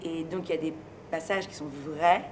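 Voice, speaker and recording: A woman from France being interviewed, speaking in a relaxed, thoughtful and hesitant way and laughing easily. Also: sits low, moderate pace. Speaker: interviewee, singer and stage performer. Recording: noisy location recording with ambient sound.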